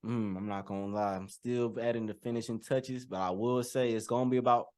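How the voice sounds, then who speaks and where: A young man, a rapper being interviewed, answering in a relaxed, candid way and trailing off softly. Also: medium pitch, a little fast, clean tone. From Jamaican heritage. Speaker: guest rapper.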